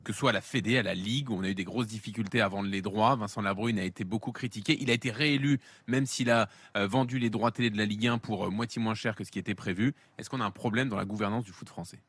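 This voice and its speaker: A man's voice: fairly low pitch, quick pace, few pauses, fairly bright tone, slightly rough, dry recording. A man hosting a radio interview, putting formal, direct questions to the guest. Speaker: host. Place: radio studio.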